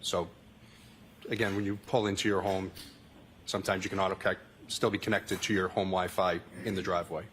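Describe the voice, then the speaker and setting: A man with a fairly low voice testifies from a courtroom witness stand as a police witness. He reads out log entries in a matter-of-fact, repetitive way, with faint noise on the recording. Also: little pitch movement, a little fast, fairly bright tone. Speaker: state trooper testifying as witness.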